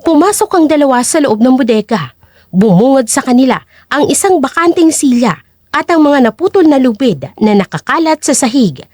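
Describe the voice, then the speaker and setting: High, lively woman story narrator. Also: moderate pace, no room sound, studio quality. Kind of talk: dramatic scripted story narration.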